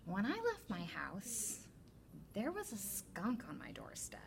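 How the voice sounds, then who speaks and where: A woman reads a picture book to children in a mid-pitched voice with some creak in it. Her delivery is deliberate and expressive, in the manner of a school librarian. There is faint background noise on the recording. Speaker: teacher librarian.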